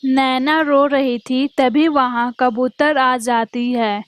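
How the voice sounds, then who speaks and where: Woman, scripted cartoon story narration, high-pitched, unhurried, dry recording. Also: steady tone, no room sound.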